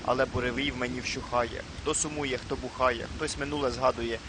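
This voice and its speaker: A man's voice: medium pitch, fairly even intonation, fairly quick, noisy background. A man narrating his own amateur cartoon as its creator. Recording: music behind the voice.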